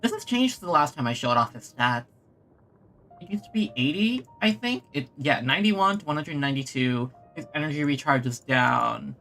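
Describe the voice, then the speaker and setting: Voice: mid-range voice, lively intonation, normal speed, fairly bright tone, faint music behind. A streamer giving casual, informal and reactive live commentary while playing a video game. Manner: informal, reactive, reads game lines aloud. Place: game stream, game music behind.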